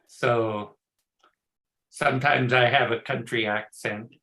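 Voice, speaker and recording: Older male academic, bright gravelly voice, easygoing talk over a video call. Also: mid-range voice, slow. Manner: relaxed, chatty, laughs easily. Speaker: professor, guest speaker. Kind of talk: casual chat before a talk.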